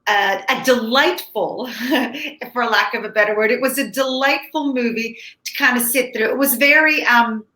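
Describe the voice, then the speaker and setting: A woman with a fairly high, bright voice talks through a movie review in a casual, conversational style. Her sound is clearly roomy, with echo around the words. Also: moderate pace. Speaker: film critic co-hosting a movie review. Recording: echoey, reverberant room.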